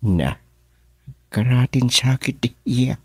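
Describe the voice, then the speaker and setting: A man's voice: medium pitch, takes it slowly, darkish tone, husky, dry recording. A man playing a practical, helpful village chairman in a radio drama, with an Ilocano accent. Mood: practical, concerned.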